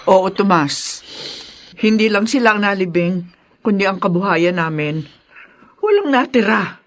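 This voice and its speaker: A woman playing a helpful, concerned older mother in a radio drama, with expressive delivery. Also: medium pitch, unhurried, close to an everyday voice. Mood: sorrowful.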